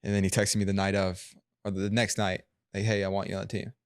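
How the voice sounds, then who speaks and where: A young man telling stories as a podcast guest, animated and acting out what he and others said. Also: lowish voice, fast talker, smooth, no room sound, clean studio sound. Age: young adult. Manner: animated storyteller, acts out his own quotes. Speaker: guest, sales closer. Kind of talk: casual interview chat.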